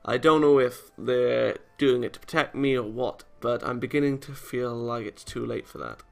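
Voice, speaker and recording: A man's voice: medium pitch, moderate pace, gravelly, a little mellow. A man hosting a let's play, reading a visual novel aloud with casual comments and often stumbling over lines. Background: game music.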